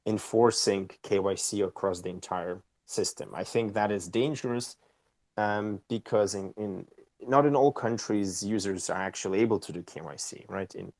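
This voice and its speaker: Man, lowish voice, dry close sound, explanatory, keeps asking "right?". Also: moderate pace, darkish tone, no room sound. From Austria. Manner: explanatory, tags questions with "right?". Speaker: company co-founder and CEO, guest. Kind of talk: casual interview answers.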